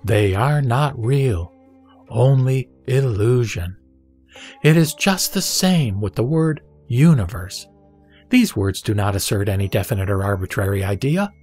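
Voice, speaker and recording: A man playing a serene, authoritative spiritual teacher, measured and didactic, in a scripted sutra audio drama. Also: medium pitch, animated, a little mellow, soft background music. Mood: solemn teaching. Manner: solemn, measured, didactic delivery. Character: the Buddha, serene, authoritative male spiritual teacher instructing a disciple.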